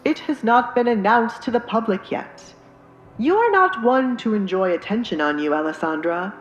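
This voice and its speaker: A woman's voice: fairly high pitch, lively intonation, moderate pace, reverberant, highs cut off, a little hiss or hum, voice pushed up, close to an everyday voice. A woman voicing a stern, pushy father who demands obedience from his daughter, in dramatized audiobook dialogue. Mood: disapproving.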